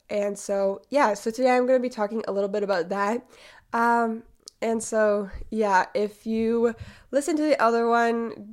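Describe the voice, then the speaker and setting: A woman's voice: medium pitch, a little mellow. Congested 18 yr old girl hosting her podcast, rambly, lots of likes and ums. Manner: chatty, many fillers. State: congested from a cold. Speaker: podcast host. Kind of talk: casual podcast monologue.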